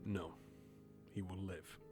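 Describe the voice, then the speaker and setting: Very deep, solemn grim reaper. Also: male voice, little pitch movement, slow pace, over music. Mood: solemn, calm. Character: calm, solemn male grim reaper collecting souls. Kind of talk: audio drama acting.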